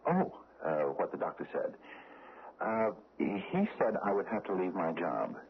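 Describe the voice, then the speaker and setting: An actor playing a timid, fussy middle-aged bookkeeper who stammers nervously, in an old radio drama. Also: male voice, low voice, very animated, unhurried, slight background noise, exaggerated voice. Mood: fretful. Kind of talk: 1970s radio drama acting. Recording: tinny old radio broadcast.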